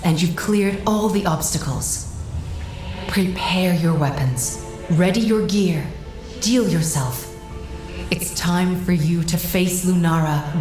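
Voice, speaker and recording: A woman voicing a calm magical construct who guides a warrior in a video game, speaking in measured, formal instructions. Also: fairly low pitch. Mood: commanding, solemn. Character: calm female magical construct, a guiding strategist. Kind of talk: scripted video game dialogue and mission briefing. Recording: roomy echo, loud game music behind.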